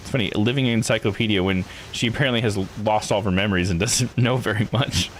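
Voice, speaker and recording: A man's voice: lowish voice, quick pace, slight background noise, poor audio. A man streaming a game, giving casual live commentary and musing aloud in a conversational way.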